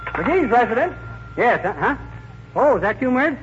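A man's voice: very high voice, sing-song, highs cut off. A man playing a bumbling, wisecracking, folksy husband in 1940s radio comedy, on a noisy old recording. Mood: chatty. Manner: folksy, wisecracking, quick with wordplay.

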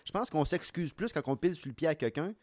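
Lively-voiced Québécois man. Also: on the high side, fast, no room sound. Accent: Québécois French. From Quebec. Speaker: podcast guest. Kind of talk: casual chat.

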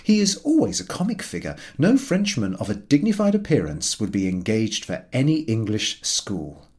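A man narrating a comic literary story in a formal, measured and expressive storytelling manner. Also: on the low side. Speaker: audiobook narrator.

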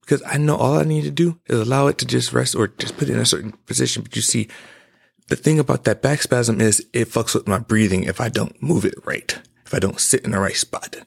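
A man's voice: mid-range voice, brisk, gravelly, husky. A man in his twenties giving an emphatic, preachy personal monologue as a podcast host. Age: 20s.

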